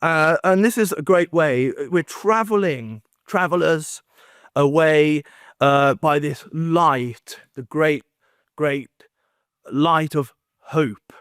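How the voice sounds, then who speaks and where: A man giving an academic conference talk in a wry, self-deprecating, halting way, with joking asides. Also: fairly high pitch, a bit bright, smooth, no room sound, clean studio sound. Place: conference room, speaking standing before an audience.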